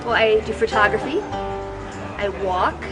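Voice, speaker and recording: A woman's voice: medium pitch, animated, takes it slowly, low-quality recording. A woman answering interview questions outdoors in the city, speaking lightly and enthusiastically. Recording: loud crowd and music behind.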